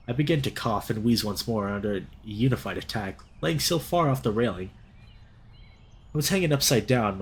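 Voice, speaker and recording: A young man hosting a let's play, reading a visual novel aloud expressively and reacting as he goes. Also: medium pitch. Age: young adult.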